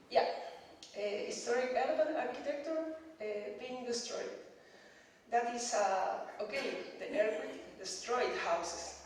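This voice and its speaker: Woman, Chilean Spanish accent, Spanish native speaker, hesitant conference speaker, unhurried, booming hall echo. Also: darkish tone, slight background noise. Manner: hesitant, many fillers, nervous laughs, checks audience understands. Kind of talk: conference presentation. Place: dark conference stage. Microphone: lectern mic from far off. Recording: distant echoey hall recording.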